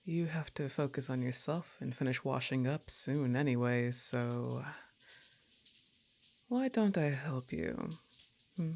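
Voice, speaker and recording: Slow, laid-back, teasing goth roommate, acted by a woman. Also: extremely low pitch, lively intonation, dark tone, slight background noise, heavily acted. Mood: seductive, teasing. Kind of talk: intimate ASMR roleplay acting.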